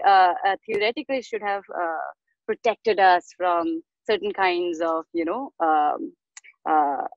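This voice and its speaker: A woman's voice: fairly low pitch, no room sound. Indian woman, raised in Delhi, panel guest, reflective and hesitant, dry muffled call audio. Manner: thoughtful, hesitant, many "uh" pauses. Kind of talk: conversational storytelling. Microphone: video call.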